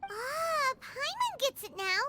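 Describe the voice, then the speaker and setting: A woman voicing a small, excitable, curious fairy companion with a childlike, exclamatory delivery in a video game. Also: very high voice, takes it slowly, faint music behind, exaggerated voice. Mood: excited. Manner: speaks of self in third person, exclamatory.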